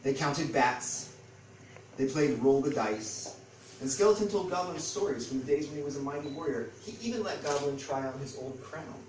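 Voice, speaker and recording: Animated male storyteller in an echoey room. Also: mid-range voice, moderate pace. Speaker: author reading his book aloud. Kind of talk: animated picture-book storytelling to an audience. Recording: echoey room, distant mic, faint noise.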